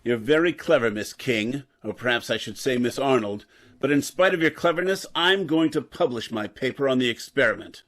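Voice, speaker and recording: A man's voice: medium pitch, normal speed, fairly bright tone, lightly acted. A man playing a proud, indignant scientist in an old-time radio drama, formal and emphatic. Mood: resolute.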